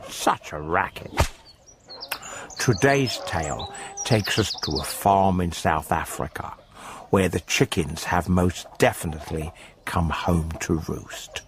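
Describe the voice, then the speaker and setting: Male story announcer, scripted intro, slow delivery with heavy fry, bird calls and faint noise in the background. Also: low voice.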